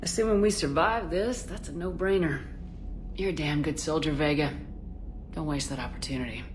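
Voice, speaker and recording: An actress playing a steady, frank military commander in a video game, direct and composed with dry teasing. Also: female voice, low voice, normal speed, a little hiss or hum, natural voice. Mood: firm, supportive. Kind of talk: conversational video game acting.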